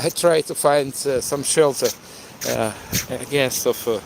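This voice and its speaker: A Ukrainian-accented man whose first language is Russian, live-streaming outdoors in the rain and wind. He talks haltingly, with many "uh" fillers. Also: medium pitch, gravelly. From eastern Ukraine. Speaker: live-stream host and tour guide. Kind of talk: live-streamed walking chat.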